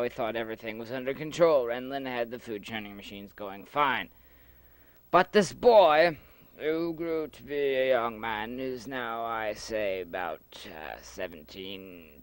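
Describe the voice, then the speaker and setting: A man's voice: mid-range voice, a bit bright, clear, resonant tone, dry recording, close to an everyday voice. A man voicing a stern male elder in an amateur audio drama, rambling with many uhs as he lists a youth's misdeeds.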